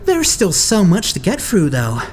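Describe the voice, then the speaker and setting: A woman voicing a timid, gloomy young idol with low self-esteem in an anime fandub, meek and self-deprecating. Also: huge pitch swings, unhurried, darkish tone, a little hiss or hum. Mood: downcast. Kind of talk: anime game fandub acting.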